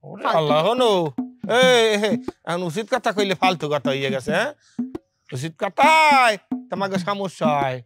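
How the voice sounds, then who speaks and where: A young village layabout, wheedling and cheeky. His high voice drawls in a sing-song way. Music plays clearly behind him in this comic TV drama. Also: big pitch swings, moderate pace. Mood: teasing, mocking. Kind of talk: comic television drama acting.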